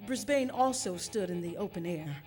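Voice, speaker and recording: An actress playing an agitated, imperious and fastidious mother in a futuristic radio drama, with a haughty, theatrical delivery. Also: lowish voice, animated, darkish tone, faint music behind, natural voice. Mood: curious, weary. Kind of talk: theatrical radio drama acting before a live audience.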